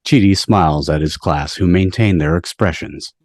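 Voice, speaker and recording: A man's voice: deep voice, moderate pace, no room sound, clean studio sound. A man reading scripted stage directions at a table read, recorded over a video call. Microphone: video call microphone.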